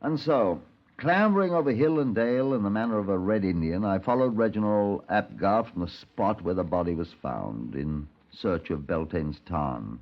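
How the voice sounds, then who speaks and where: A sardonic detective from an old radio crime drama. His low voice moves with lively, dramatic inflection, brusque and dryly impatient. The recording is dull and band-limited. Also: normal speed. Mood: wry narration. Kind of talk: 1950s radio crime drama acting and narration.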